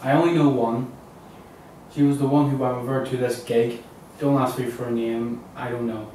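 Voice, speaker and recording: Anxious client in a detective thriller, male actor: mid-pitched voice, table read, distant mic, heavy room echo. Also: little pitch movement, normal speed, mellow, lightly acted. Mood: earnest. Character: Paul Watts, worried well-groomed man seeking missing partner. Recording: very roomy, distant mic, faint noise.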